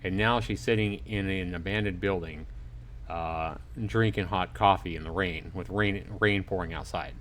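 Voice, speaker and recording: A man's voice: low-pitched, steady tone, moderate pace, fairly bright tone, slight background noise. A man chatting informally and jokingly with viewers as he reads and comments on a visual novel on a livestream. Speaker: livestreaming gamer reading a visual novel to chat. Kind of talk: casual livestream play-through commentary mixed with reading aloud.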